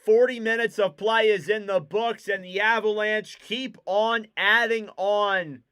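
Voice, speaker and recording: A young man doing live play-by-play for a hockey stream, excitable and rising to shouts on big plays, his voice wearing out. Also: sits high, a little slow, clean tone. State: losing his voice. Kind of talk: play-by-play commentary.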